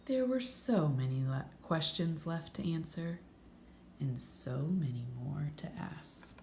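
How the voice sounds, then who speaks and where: A woman reading a children's story aloud with expressive, dramatic narration and drawn-out emphasis. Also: sits low, creaky. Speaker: storybook reader. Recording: echoey room, faint background noise.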